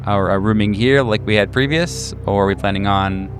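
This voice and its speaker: Man, slightly deep voice, game master, improvised narration, conversational, halting phrasing, soft music behind.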